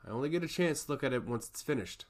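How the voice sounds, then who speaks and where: A male storyteller plays a solemn goddess of law from a fantasy tale. She speaks formally and with measured gravity, like one who judges souls. Her delivery is earnest, with a lively, varied pitch. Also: natural voice.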